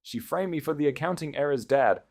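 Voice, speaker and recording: A man's voice: fairly high pitch, lively intonation, brisk, creaky, darkish tone, pitched above the performer's own voice. A man voicing a proud, desperate executive in an audiobook, with frantic, breathless, insistent delivery. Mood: panicked pleading. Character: proud, desperate disgraced male executive, panicking and pleading.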